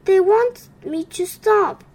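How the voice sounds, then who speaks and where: A woman's voice: sits high, takes it slowly, darkish tone. A woman voicing a proud male tree frog who brags about his singing in a raised voice. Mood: wailing. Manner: bragging, then plaintive.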